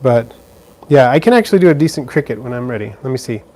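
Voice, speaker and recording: Relaxed man hosting a pet live stream. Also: medium pitch, quick pace. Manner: relaxed, chatty, with fillers and restarts. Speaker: live stream host answering viewer questions. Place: indoors beside a frog terrarium.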